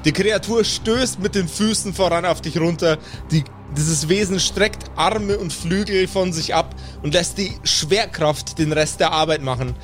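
A high-voiced male game master tells an improvised fantasy adventure. His delivery is descriptive and dramatic, and music plays behind him. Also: unhurried, hardly any pauses. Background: background music.

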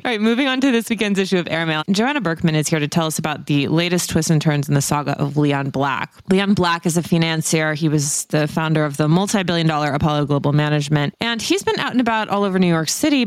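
A woman's voice: deep voice, clean tone, dry recording. Breezy female podcast co-host, dry sound. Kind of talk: chatty hosting with scripted introductions.

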